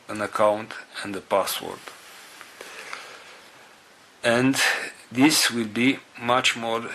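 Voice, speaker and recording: A man addresses his group with organizational news, speaking in halting, non-native English. His phrases come in short pieces with long pauses between them, at a very slow pace. His voice is lowish yet bright, and a faint hiss sits behind it. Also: rough recording.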